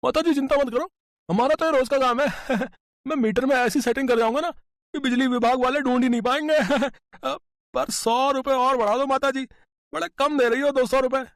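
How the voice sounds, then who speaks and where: A man's voice: very high-pitched, fairly even intonation, moderate pace, pauses often, a bit bright, dry recording, studio quality. A man voicing a sly, greedy young electrician lad in a cartoon story. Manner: wheedling, laughing, bargaining.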